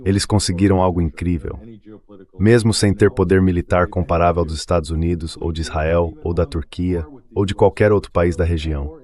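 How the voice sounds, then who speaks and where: A man with a Brazilian accent speaks in a low-pitched voice. He delivers analytical commentary as an interview guest, in the form of a translated voice-over. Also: steady tone, brisk, darkish tone.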